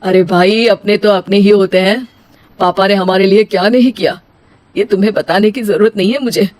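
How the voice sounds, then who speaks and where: A woman's voice: medium pitch, steady tone, moderate pace, darkish tone, lightly acted. A woman voicing a caring, responsible elder brother in an emotional cartoon story. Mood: reassuring. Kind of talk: melodramatic cartoon story acting.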